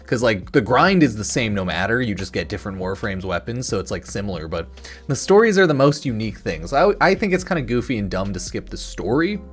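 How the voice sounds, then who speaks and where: A man's voice: fairly low pitch, very animated, brisk. A man doing casual, off-the-cuff news commentary as a gaming video creator, rambling and opinionated in slangy speech. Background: music.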